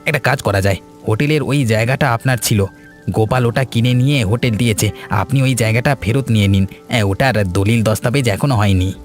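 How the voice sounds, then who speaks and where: Guy doing a sneaky cowardly village servant, whiny blustery, talks fast, cartoon story with music under. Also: medium pitch, lightly acted. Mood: scheming, wheedling. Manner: blustering, whiny, stammers when cornered. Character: shifty, cowardly, scheming village servant man. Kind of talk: animated folk tale voice acting.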